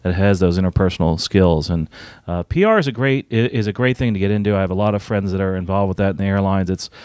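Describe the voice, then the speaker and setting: Male host, low-pitched, rapid delivery, dry close sound. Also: no echo. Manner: energetic, quick with frequent fillers. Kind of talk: conversational interview. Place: university.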